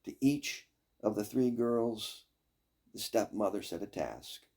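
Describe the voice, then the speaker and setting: Older man, gravelly, slow fairy-tale storyteller. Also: on the low side, animated, a little mellow. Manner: deliberate, dramatic phrasing with pauses. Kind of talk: expressive fairy-tale narration read aloud.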